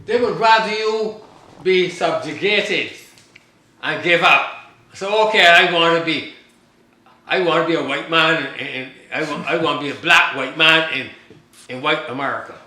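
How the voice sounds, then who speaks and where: A man's voice: fairly high pitch, moderate pace, sharp tone. An older man from Barbados, a guest author, talking in a rambling, emphatic way that repeats points and trails off. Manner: emphatic, repeats himself, trails off mid-thought. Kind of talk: rambling conversational storytelling. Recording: very echoey room.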